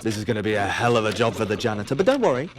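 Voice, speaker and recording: An actor playing a wry, ominous narrator of a sci-fi audio drama, dramatic and sardonic. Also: male voice, lowish voice, big pitch swings, rapid-fire, a little hiss or hum. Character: wry, ominous, all-seeing storyteller of a sci-fi tale.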